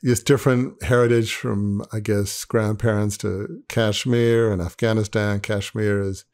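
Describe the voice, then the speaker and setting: A man's voice: fairly low pitch, level tone, measured pace, a little mellow. Older man host, hesitant questions. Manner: rambling, hesitant questions with fillers. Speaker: podcast host. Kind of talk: interview questions. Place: home office. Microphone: earbuds on a video call.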